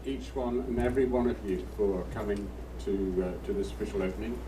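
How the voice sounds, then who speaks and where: An older man, a retired ship captain, giving a humble, halting thank-you speech. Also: lowish voice, steady tone. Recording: distant mic, clear background noise.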